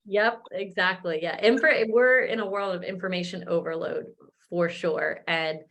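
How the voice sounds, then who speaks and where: A woman in her thirties, a wellness coach, talking in a relaxed, casual way with lots of fillers. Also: fairly low pitch, moderate pace, creaky, noisy background. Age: 30s. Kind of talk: casual chat.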